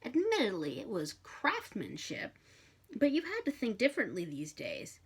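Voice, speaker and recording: A woman narrating fiction aloud in an expressive storytelling style. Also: on the high side, moderate pace, gravelly, slightly rough. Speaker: bookshop reader.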